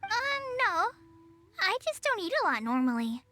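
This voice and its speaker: A woman's voice: extremely high pitch, sing-song, normal speed, pushed and bright, a little hiss or hum, exaggerated voice. A woman voicing a shy young apprentice who speaks hesitantly in a game. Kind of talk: game voice acting.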